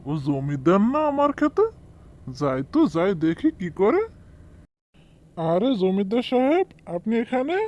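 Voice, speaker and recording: Man playing worried farmer, cartoon, earnest, respectful, mellow, fairly high male voice. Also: sing-song, measured pace, pauses often, muffled recording. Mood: puzzled. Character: hardworking, dutiful, worried farmer son. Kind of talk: amateur cartoon voice acting.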